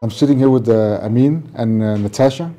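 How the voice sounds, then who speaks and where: A man hosting a podcast interview, speaking in a halting way as he searches for words and often restarts his questions. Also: medium pitch, warm tone. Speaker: podcast host. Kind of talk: conversational interview.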